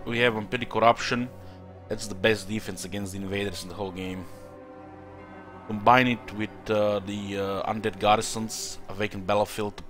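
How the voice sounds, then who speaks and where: A man's voice: fairly low pitch, fairly bright tone. A man from Croatia with a Croatian-influenced accent, commenting live on a strategy game he is playing and thinking aloud with many fillers. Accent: Croatian-influenced English. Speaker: streamer.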